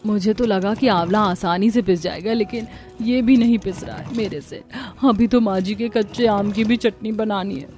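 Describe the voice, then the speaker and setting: A woman voicing a young, put-upon, complaining daughter-in-law in a Hindi animated family story. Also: mid-range voice, moderate pace, few pauses, soft background music, close to an everyday voice.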